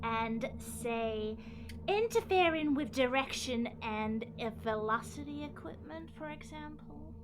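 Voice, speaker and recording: Gloating sci-fi villainess, high slow voice, mocking and melodramatic, audio drama with noisy ambience behind. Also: female voice, expressive. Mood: gloating menace. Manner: mocking, melodramatic, drawn-out taunting delivery.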